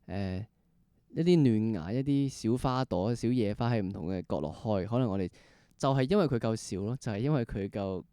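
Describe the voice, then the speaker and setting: A man's voice: fairly low pitch, fairly quick, warm tone, smooth, no room sound, clean studio sound. A man with a Hong Kong Cantonese accent hosting a casual interview, hesitating and repeating phrases, with English words mixed in. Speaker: podcast host.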